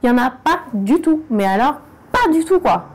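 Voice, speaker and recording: A woman's voice: high voice, animated, normal speed. A woman from France, talking frankly and emphatically in a casual interview. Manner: frank and emphatic, speaking directly to the listener. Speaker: documentary interviewee.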